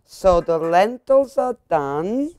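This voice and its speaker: A woman's voice: fairly low pitch, very animated, takes it slowly, a little mellow, no room sound. An older woman with an Italian accent, chatting casually while cooking, emphatic and prone to repeating herself. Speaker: cooking show co-host. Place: home-style kitchen, indoors.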